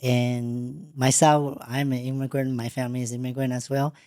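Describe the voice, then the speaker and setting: A woman, not a native English speaker, hosting an interview in a studio, talking in a halting way with frequent restarts. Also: very deep voice, little pitch movement, warm tone, no echo. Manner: halting, restarts often, plain unpolished English. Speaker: podcast host. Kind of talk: casual interview.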